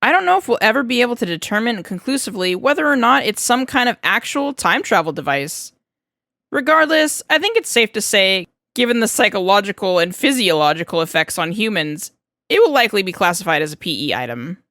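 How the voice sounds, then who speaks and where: A woman voicing an enthusiastic, talkative male research scientist in an audiobook, explaining things in an animated, chatty way. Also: medium pitch, a little fast, dry recording, studio quality. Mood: earnest, speculative. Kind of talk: fan fiction audiobook character reading.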